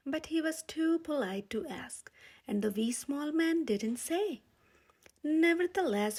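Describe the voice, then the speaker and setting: A woman narrates a children's picture book in a high, mellow voice. Her phrasing is expressive and deliberate, in the manner of a storyteller reading aloud.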